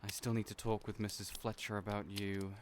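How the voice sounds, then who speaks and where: Hesitant, soft-spoken man, slightly low voice, stammers, audio roleplay lead. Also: little pitch movement, brisk, slight background noise. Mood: gentle.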